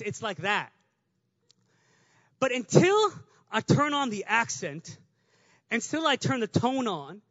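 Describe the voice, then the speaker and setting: A male preacher with a high-pitched voice, talking quickly in an easy, conversational way. He builds in volume as his points land. The sound is dry and slightly muffled. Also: no room sound. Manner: conversational, fast, builds to shouting. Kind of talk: sermon.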